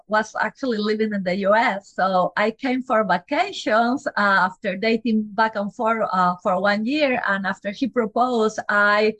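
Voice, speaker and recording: A woman's voice: a little high, a bit bright, dry recording. A woman from Peru in her forties with a Peruvian Spanish accent, a physician talking as a guest in an interview, laughing lightly and restarting phrases as she goes. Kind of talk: casual interview conversation.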